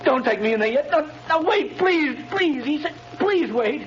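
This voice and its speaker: An actor playing a terrified condemned prisoner in a 1930s radio drama, pleading frantically. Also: male voice, extremely high pitch, animated, normal speed, background noise. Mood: panicked pleading. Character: terrified condemned male prisoner facing execution. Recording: muffled 1930s radio broadcast.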